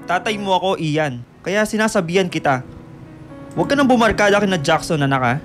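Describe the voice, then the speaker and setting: A worried Filipino father in a radio drama. His voice sits high for a man as he admonishes and pleads like a concerned middle-aged parent. Faint music plays underneath. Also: expressive, fairly quick. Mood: scolding, pleading. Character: worried scolding father of a rebellious teenage son. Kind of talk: radio drama dialogue, Taglish.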